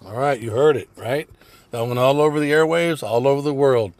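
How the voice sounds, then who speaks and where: A man's voice: mid-range voice, a little fast, background noise. A man giving a blunt, profane religious commentary in a casual ranting style, swearing heavily.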